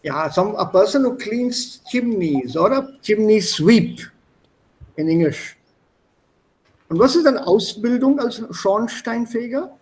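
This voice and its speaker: A man's voice: sits high, expressive, takes it slowly, darkish tone, rough recording. A man teaching German in an online class, explaining words and switching between German and English. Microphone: video call.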